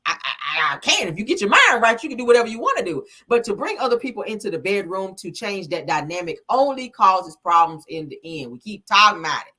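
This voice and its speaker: A woman in her late thirties speaks with a sound, her voice bright and forward. She riffs casually to a live chat, blunt and preachy, scolding and joking in the same breath. Her pitch sits right in the middle for a woman. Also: very animated, quick pace, hardly any pauses. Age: 30s. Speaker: live show host. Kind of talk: casual live-stream commentary.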